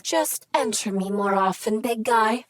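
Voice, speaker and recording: Woman as a flirty jealous robot lady, high sultry voice, slow teasing purr, game character. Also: natural voice. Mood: sultry flirtatious. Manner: breathy purring seduction, pet names, teasing moans. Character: seductive, jealous female crafting machine, clingy and flirty. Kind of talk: video game character dialogue.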